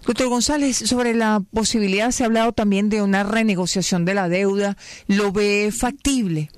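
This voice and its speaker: A male radio interviewer with a high voice asks brisk, formal questions. His sound is dry and close, with no room around it. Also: steady tone, smooth, no echo.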